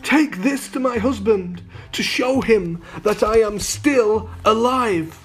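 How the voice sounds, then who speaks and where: A man voicing a young princess in a children's storybook, gentle but spirited, with imploring expression. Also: sits high, takes it slowly, breathy edge, faint music behind, pitched above the performer's own voice, full character voice. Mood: urgent. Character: gentle princess, young wife, pleading yet defiant.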